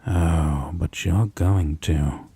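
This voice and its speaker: A predatory, possessive vampire, acted out by a man in an audio roleplay, with a deep, dark voice full of breath and husk. He taunts the listener in extremely slow, drawn-out phrases, slipping into whispers, menacing and domineering. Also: exaggerated voice. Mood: threatening, angry. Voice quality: husky, breathy, low.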